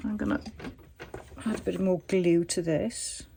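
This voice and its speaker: A woman hosting a crafting tutorial, chatting and thinking aloud as she works, her voice a little croaky today. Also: fairly low pitch, steady tone, measured pace, dark tone, slight background noise. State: slightly croaky voice. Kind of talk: casual craft demonstration.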